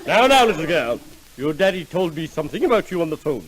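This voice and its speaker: An actor playing a pompous, self-assured psychoanalyst doctor in an old-time radio comedy sketch. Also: male voice, fairly high pitch, big pitch swings, poor audio. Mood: brisk, professional. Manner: pompous, formal, deliberate questioning. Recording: old radio recording, faint noise.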